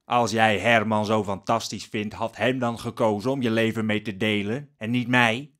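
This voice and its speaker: A man's voice: on the low side, fairly even intonation, normal speed, fairly bright tone, natural voice. A man playing a bitter, struggling poor farmer and husband in an audio drama. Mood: bitter, hurt.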